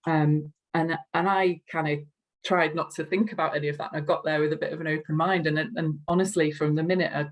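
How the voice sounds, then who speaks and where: A woman's voice: low-pitched, steady tone, brisk. A woman with a Liverpool accent, a podcast guest chatting enthusiastically and effusively, often repeating words as she goes. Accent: Liverpool (Scouse). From Liverpool, England. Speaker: guest, customer care strategy lead. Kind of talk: podcast interview chat.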